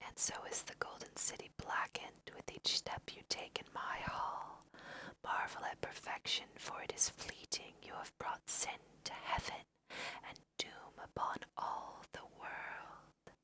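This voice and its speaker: A young woman whispering gently and softly in an unhurried ASMR style as she guides listeners through a video game. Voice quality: soft breathy whisper. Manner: gentle, unhurried, hushed guiding. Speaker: host of an ASMR gaming video. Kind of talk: ASMR let's play commentary.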